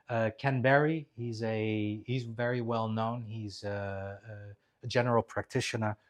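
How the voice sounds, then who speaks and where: Man around fifty, low voice, chatty presenter, loose delivery with restarts. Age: 50s. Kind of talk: casual live presentation. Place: clinic presentation room.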